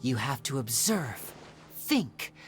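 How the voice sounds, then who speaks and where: A woman voicing a charming young male stage magician in a video game, smooth, playful and theatrical. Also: extremely low pitch, big pitch swings, slow, faint music behind, full character voice. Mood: theatrical, coy. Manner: theatrical, teasing, polite. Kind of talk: video game character dialogue.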